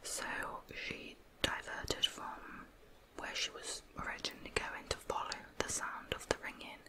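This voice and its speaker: A woman narrates true crime as ASMR, whispering throughout. Her voice is breathy and unvoiced, never rising into normal speech. She tells the story in a hushed, measured way.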